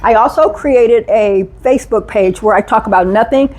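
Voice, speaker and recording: Woman doing a safety talk at a conference, kinda high voice, upbeat, keeps asking the room stuff. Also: moderate pace. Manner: energetic, engaging, asks the audience questions. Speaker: safety speaker. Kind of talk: live conference talk to an audience.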